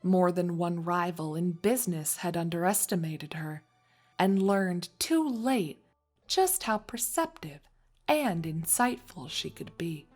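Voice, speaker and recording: A woman narrates a scripted story in a slightly low voice. She reads at a very unhurried pace, as a storyteller between lines of dialogue. Music plays faintly behind her. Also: animated, darkish tone.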